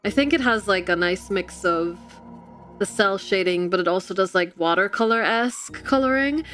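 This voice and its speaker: A woman's voice: mid-range voice, moderate pace, fairly bright tone. A woman livestreamer chatting casually and expressively with her audience in a giggly, chatty manner. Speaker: livestream host and artist. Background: background music.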